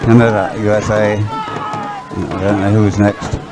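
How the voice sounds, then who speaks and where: A man giving loose, halting live race commentary outdoors, often rambling and thinking aloud. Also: on the low side, huge pitch swings, over a noisy crowd. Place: outdoors beside a race course. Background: music, loudspeakers at a sports venue.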